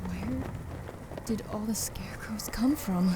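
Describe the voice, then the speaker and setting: A woman's voice: medium pitch, close to an everyday voice. An actress playing a young woman lost in a haunted corn maze, wary and then frightened, in a radio play. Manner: wary and frightened, quick exclamations. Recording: clear background noise and ambience.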